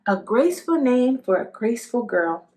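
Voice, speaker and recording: A woman acts a kindly male shopkeeper in a storybook. The delivery is friendly and very animated, pitched on the high side. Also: normal speed. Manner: friendly, complimentary.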